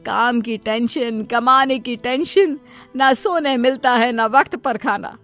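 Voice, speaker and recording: Woman playing a high-voiced, fussing cartoon mother. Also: slow pace, fairly bright tone, faint music behind. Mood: pleading, protective. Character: doting, self-pitying middle-aged Indian mother, overprotective of daughter. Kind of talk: Hindi cartoon moral story acting.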